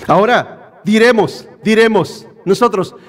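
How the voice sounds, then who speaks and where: Male pastor, very high voice, preaching, reverberant church hall. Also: measured pace, fairly bright tone. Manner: preaching, addresses listeners as brothers, rhetorical questions. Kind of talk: sermon. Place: church in Bakersfield, California.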